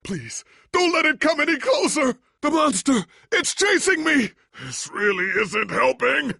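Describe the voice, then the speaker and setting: An actor playing a proud but anxious, forgetful legendary warrior in a video game, with an earnest, formal, theatrical delivery. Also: male voice, very high-pitched, very animated, a little slow, vocal fry, sharp tone, no echo, clean studio sound, full character voice. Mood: panicked shouting. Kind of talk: dramatic video game voice acting.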